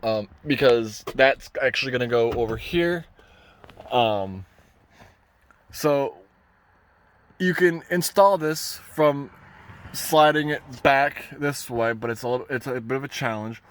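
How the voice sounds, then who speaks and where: A man gives a casual hands-on DIY truck tutorial in a halting, rambling way, often correcting himself as he goes. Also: mid-range voice. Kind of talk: casual hands-on how-to talk. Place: inside a parked pickup truck cab.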